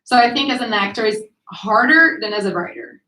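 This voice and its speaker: Earnest high-voiced woman actor-writer. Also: animated, a little fast, pushed and bright. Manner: earnest, self-conscious about her speech. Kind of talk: candid panel answer. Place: event room at a literary gathering. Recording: echoey room.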